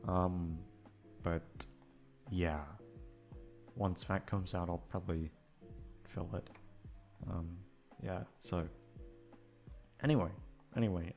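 A man's voice: deep voice, a little mellow. A man chatting casually as a voice actor, rambling in a hesitant, self-deprecating way. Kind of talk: casual outro chat.

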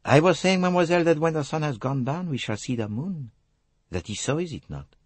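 A courteous, shrewd older foreign detective. He speaks formally and with slow emphasis, his husky voice moving in lively swings of pitch. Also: no room sound. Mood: gently musing.

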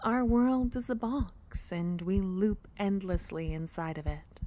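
Girl doing a shy sweet witch for asmr roleplay, slow n soft, kinda high, breathy, up close on the mic w faint noise. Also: close to an everyday voice. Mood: tender, musing. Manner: soft, breathy, hesitant, often dropping to a whisper. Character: shy, kind-hearted, lonely young witch, rambling and smitten. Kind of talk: ASMR fantasy romance roleplay. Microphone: close ASMR microphone.

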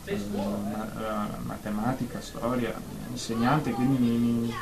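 A man's voice: mid-range voice, level tone, unhurried, vocal fry. Man acting anxious stammering therapy patient, echoey room. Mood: nervous, hesitant. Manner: hesitant stammering with repeated words. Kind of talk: radio drama acting. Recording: roomy echo, faint background noise.

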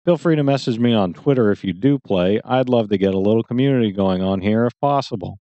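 A man's voice: on the low side, measured pace, warm tone, no echo, muffled recording. A man who makes gaming videos, chatting casually to viewers in a friendly outro.

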